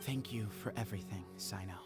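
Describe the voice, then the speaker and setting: Guy playing young earnest temple heir in a game, bit of gravel, music behind. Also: on the low side, fairly quick, natural voice. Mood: grateful, sincere. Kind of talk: scripted video game voice acting.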